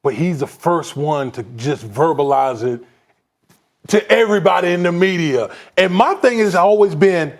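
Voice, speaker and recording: A man who is a former player and now an analyst, talking in an excitable, emphatic way in a sports debate. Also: fairly high pitch, moderate pace.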